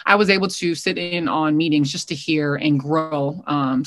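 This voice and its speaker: A young American woman talks conversationally as a coach in an interview. Her voice sits low and moves evenly, with little rise and fall. She sounds earnest and reflective, in a dry recording free of room sound. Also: no echo. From Hawaii, United States. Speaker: basketball coach, interview guest.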